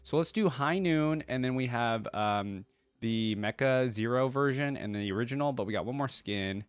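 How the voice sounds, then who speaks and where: A man's voice: medium pitch, moderate pace, smooth, no room sound. A man hosting a reaction video, commenting casually and thinking aloud to his viewers, sometimes reading bits out. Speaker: host of game reaction videos.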